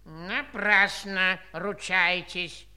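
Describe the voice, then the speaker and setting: A woman's voice: fairly low pitch, fairly even intonation, extremely slow, sharp tone, clear, resonant tone, exaggerated voice. A woman playing a blunt, bossy elder in a children's audio play, brusque and emphatic. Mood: scornful. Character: blunt, bossy female elder of a land of numbers.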